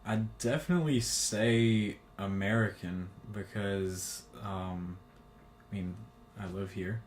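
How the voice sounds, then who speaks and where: A young man answering interview questions in a hesitant, measured way. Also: lowish voice, slight background noise.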